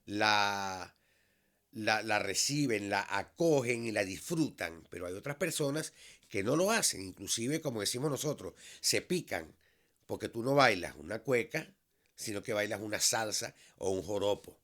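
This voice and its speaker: A Venezuelan man in his fifties, a teacher, speaking in a measured, earnest monologue that has frequent restarts. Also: lowish voice, expressive, fairly bright tone. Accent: Venezuelan Spanish. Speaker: vlog host, high school teacher. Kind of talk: reflective solo monologue.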